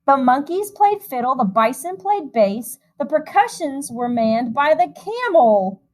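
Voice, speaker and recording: A woman music teacher reading a picture book aloud to young children in an expressive, animated way, with chatty asides. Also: high voice, a little slow.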